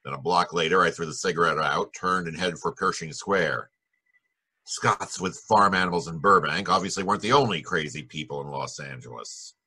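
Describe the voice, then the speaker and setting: An actor playing a wry, wisecracking private detective in an old-time radio drama, with clipped, slangy delivery. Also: male voice, deep voice, moderate pace, sharp tone, husky, heavily acted. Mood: wry narration. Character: wisecracking, hard-boiled male private detective.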